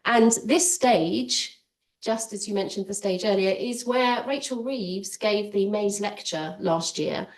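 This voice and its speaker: A woman with a cold and a slightly croaky voice moderates a fireside chat. She sounds friendly and rambles a little. She is heard from some distance. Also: mid-range voice, takes it slowly. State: has a cold, a bit croaky. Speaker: interviewer and event moderator.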